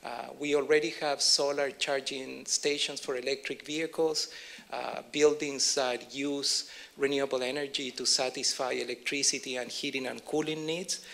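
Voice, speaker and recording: A man from Chile with a Chilean Spanish accent, giving a conference keynote in a measured way with many pauses and fillers. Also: mid-range voice, level tone, vocal fry, fairly bright tone. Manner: measured, filler-heavy delivery. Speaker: keynote speaker.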